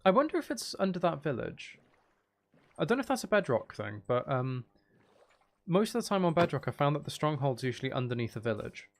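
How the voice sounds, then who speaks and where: A man's voice: fairly high pitch, sing-song, fairly quick, gravelly. A man doing casual live gameplay commentary, rambling and thinking aloud as he chats with viewers. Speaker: video game livestreamer.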